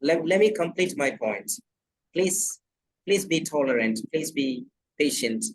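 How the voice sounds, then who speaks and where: A man from Kerala speaking English with a Malayali accent. His voice sits a little high, and he sounds like he is on a video call. He lectures with earnest insistence and repeats himself for emphasis. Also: animated, unhurried, slightly rough, poor audio. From Kerala, South India. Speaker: English trainer. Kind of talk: online class discussion.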